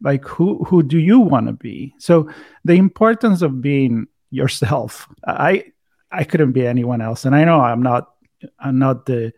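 A man's voice: medium pitch, expressive, a little slow, a little mellow, no echo, clean studio sound. A man with an Italian accent, a native Italian speaker, hosting a casual podcast interview and often rephrasing as he goes. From Italy. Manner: searching phrasing, self-correcting. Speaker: podcast host.

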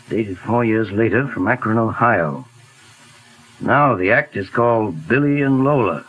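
An actor playing a calm, methodical middle-aged detective in a 1940s radio drama, speaking in a measured, polite way over a hissy old broadcast. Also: male voice, medium pitch, husky, lo-fi sound. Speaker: detective lead.

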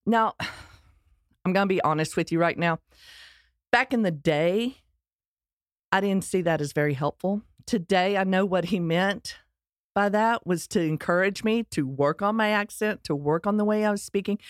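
A woman's voice: mid-range voice, dry recording, clean studio sound. A middle-aged woman with a Texan accent telling her personal story in a candid, earnest way, with touches of self-deprecating humor. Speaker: speaker sharing her life story.

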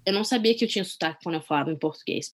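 A young woman being interviewed, retelling a personal story in a lively, animated way. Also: lowish voice, rapid-fire.

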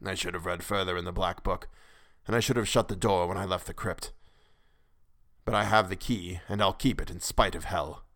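A man voicing an obsessed, volatile male treasure hunter in a gothic horror audiobook, gruff, given to growls and mutters. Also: lowish voice, expressive, moderate pace, fairly bright tone, dry recording, studio quality. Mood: muttering, haunted. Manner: growling, muttering, snarling outbursts.

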